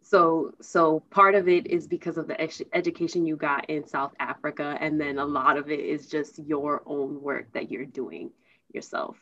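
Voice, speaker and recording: American woman interviewer, lowish voice, kinda halting and repeats herself, slightly muffled audio. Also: a bit bright, no room sound. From United States. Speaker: host interviewing a guest. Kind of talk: casual conversational interview.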